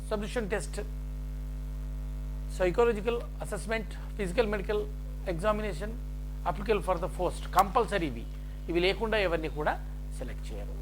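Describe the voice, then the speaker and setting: Male presenter, very animated intonation, halting point-by-point explainer delivery, noisy recording with mains hum. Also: high voice. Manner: halting, list-like phrasing mixed with English terms. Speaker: jobs information presenter. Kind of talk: explaining a job notification point by point.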